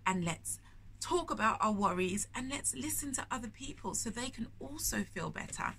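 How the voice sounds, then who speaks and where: A woman in her thirties reads a children's story aloud in a slightly high voice. She leans on key words expressively and often turns to ask her young listeners questions. The recording is made indoors in a bookshop, with faint noise behind her. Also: expressive, moderate pace, gravelly, fairly bright tone. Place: indoors in a bookshop, in front of shelves.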